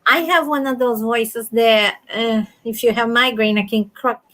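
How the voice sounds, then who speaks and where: Loud, high-voiced woman chatting. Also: a little slow. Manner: loud, animated, laughs often. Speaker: livestream host. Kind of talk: casual chat.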